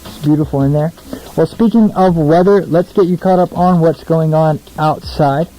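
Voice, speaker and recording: A man's voice: fairly high pitch, moderate pace, dark tone, poor audio. A young American man hosting a casual radio show, chatty, informal and self-deprecating between songs. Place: small radio studio, alone.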